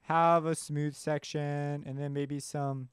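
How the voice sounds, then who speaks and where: A man presenting a software tutorial in an informal, offhand way, thinking aloud as he works. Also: a little high, level tone, slow, very noisy. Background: synth music and instrument sounds.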